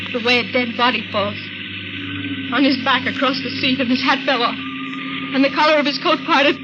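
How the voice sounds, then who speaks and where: A woman's voice: on the high side, lightly acted. An actress playing an anxious, frightened woman traveler in a 1950s radio drama, breathless, hesitant and pleading. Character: anxious, frightened woman, stranded late-night cab passenger. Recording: dull, very noisy old radio recording.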